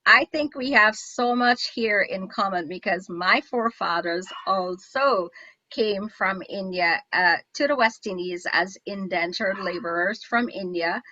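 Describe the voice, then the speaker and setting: Chatty woman host from guyana, crisp bright voice, dry slightly dull call audio. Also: mid-range voice, no room sound. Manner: chatty, enthusiastic, many restarts. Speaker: show host. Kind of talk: casual interview chat.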